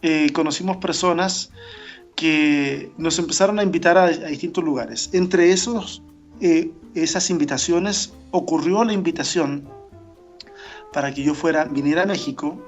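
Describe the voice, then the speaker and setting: A man's voice: fairly high pitch, normal speed. A man, a pastor being interviewed on the radio, who talks reflectively with frequent fillers and restarts. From born in Argentina, raised in Chile. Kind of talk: casual interview over a remote call. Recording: dull lo-fi remote line with faint music.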